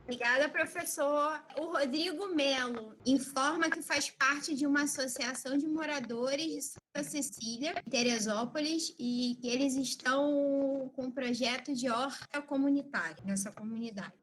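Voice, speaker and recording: High-pitched woman moderating. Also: normal speed, few pauses, fairly bright tone. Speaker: host reading audience questions. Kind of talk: polite moderation, reading questions aloud.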